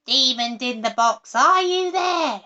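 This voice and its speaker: A woman voicing a greedy, scornful old witch in a storybook, shrill and commanding, on a dull lo-fi recording. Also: animated, bright, forceful tone, heavily acted. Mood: scheming. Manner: shrill and commanding, with drawn-out words. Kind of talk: storybook reading.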